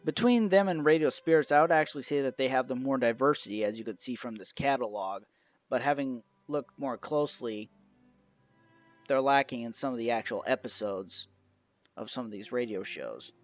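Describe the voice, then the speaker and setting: A man's voice: medium pitch, moderate pace, dull audio. A man narrating an informal review of a collection as its reviewer. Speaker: reviewer of an old radio show collection. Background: music.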